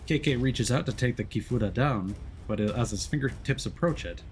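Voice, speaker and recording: A man's voice: mid-range voice, moderate pace, darkish tone, faint music behind. A man streaming a video game, moving between casual commentary and reading story narration aloud.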